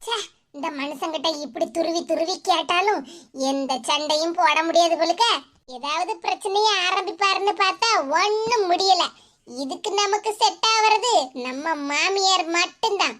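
A woman's voice: extremely high pitch, animated, unhurried, pushed and bright, heavily acted. Woman playing a sly scheming young wife. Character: mischievous young wife stirring up trouble.

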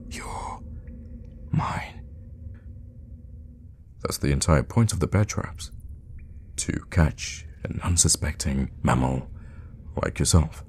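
A man playing a suave, teasing, sadistic vampire lord in an ASMR roleplay, speaking in an unhurried, theatrical and menacing way with a gravelly voice. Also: darkish tone, slight background noise, heavily acted. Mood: teasing menace. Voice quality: very deep, gravelly. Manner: unhurried, theatrical, with drops into whispers. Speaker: voice actor in an audio roleplay.

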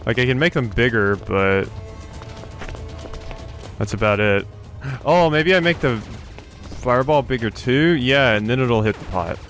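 A man's voice: medium pitch, lively intonation, a little slow, long pauses, a bit bright. A man giving casual let's play commentary on a video game, thinking aloud as he plays. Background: video game music and effects.

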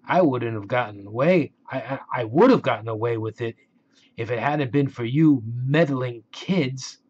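A man reads as a scheming cowboy villain in a children's storybook. He is plain and grudging, in a middle-pitched voice, with a slightly dull recording. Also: normal speed, darkish tone, lightly acted. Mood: grumbling. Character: scheming cowboy horse thief, grumbling adult man.

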